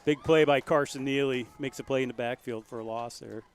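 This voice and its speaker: A man calling a local high school football game play by play, folksy and rising to loud excitement on big plays. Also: fairly quick, slight background noise. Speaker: play-by-play commentator.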